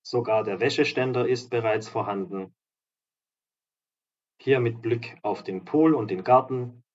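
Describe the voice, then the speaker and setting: A man with a slightly hoarse voice talks his viewers through new apartments, upbeat and selling as he walks from room to room. His pitch sits mid-range, and the phone recording sounds a little dull and lo-fi. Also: unhurried, a little mellow. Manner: upbeat, promotional, walks through rooms while talking. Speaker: real estate agent and vlogger. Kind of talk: property tour narration. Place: apartment complex in a seaside town, indoors and outdoors.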